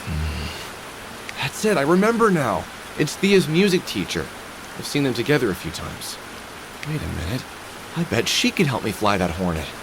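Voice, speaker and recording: A man's voice: medium pitch, huge pitch swings, moderate pace, vocal fry. Weary, wry middle-aged man, rainy hiss. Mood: musing. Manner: wry, musing aloud to himself. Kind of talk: video game voice acting, inner monologue and dialogue.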